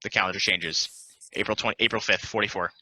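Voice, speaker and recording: A man narrating stage directions at a play table read in a plain, deliberate, announcing manner. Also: fairly low pitch, fairly even intonation, bright, forceful tone, no echo, rough recording.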